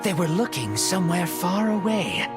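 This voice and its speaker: A man narrating a video game story cutscene in a measured, dramatic storytelling manner. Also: high-pitched, big pitch swings. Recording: music under the voice. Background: music.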